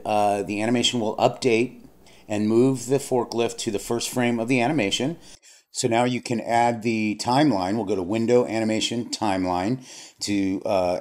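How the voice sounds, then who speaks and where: A man narrating a screen-recorded software tutorial, explaining steps plainly with frequent fillers and restarts. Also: lowish voice. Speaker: software instructor.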